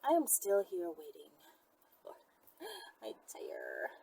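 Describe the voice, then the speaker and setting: A woman's voice: fairly low pitch, wildly swooping pitch, slow pace, creaky, mellow, breathy edge, rough recording. A woman talking casually and candidly to her viewers as a vlog host, with blunt, slangy, profane phrasing. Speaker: channel host answering viewers' questions.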